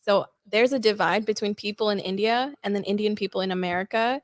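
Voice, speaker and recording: Young female creator guest, lively casual storyteller, mid-range voice, dry sound. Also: mid-range voice, fairly quick, no room sound. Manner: chatty, animated storytelling with "like" fillers. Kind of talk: casual podcast interview.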